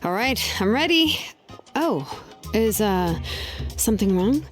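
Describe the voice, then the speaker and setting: Laid-back young craftswoman, mid-pitched. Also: big pitch swings, slow pace. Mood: casual. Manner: casual, easygoing, explanatory. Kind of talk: video game character acting. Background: game music.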